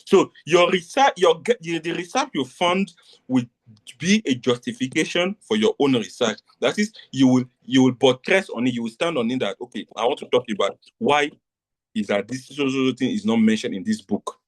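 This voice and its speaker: A man's voice: mid-range voice, lively intonation, normal speed. A middle-aged man from Nigeria tutoring a class in a live online lecture, repeating points and often asking whether students understand. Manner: repetitive, checks understanding often.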